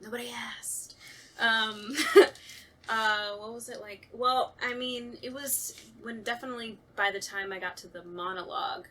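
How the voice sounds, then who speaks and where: Bright, high-voiced woman in casual chat. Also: fairly even intonation, unhurried. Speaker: voice actress on a podcast talkback.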